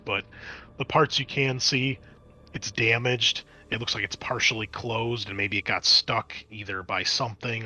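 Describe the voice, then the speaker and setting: A man's voice: on the low side, bright, forceful tone. A man acting as game master, describing scenes conversationally for a tabletop roleplaying game.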